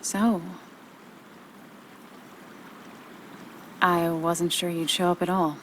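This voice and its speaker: A woman's voice: lowish voice, level tone, moderate pace, a little hiss or hum, natural voice. Woman playing a wry, teasing game heroine. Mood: wry, sincere. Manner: playful, dry banter. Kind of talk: naturalistic video game acting.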